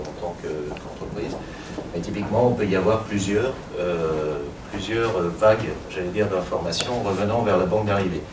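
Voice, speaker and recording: A man presenting a technical workshop to a room of attendees, explaining step by step with frequent fillers and light asides. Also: deep voice. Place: conference room. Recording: echoey room from far off, audible noise.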